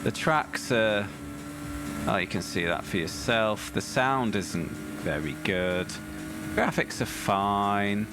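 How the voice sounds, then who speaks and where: A man streaming a racing game, with a croaky voice, chatting to his viewers in a dry, offhand way. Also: lowish voice, expressive, slow pace, noisy background. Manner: chatty, dry and offhand, talking to chat while playing. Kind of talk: casual gameplay commentary.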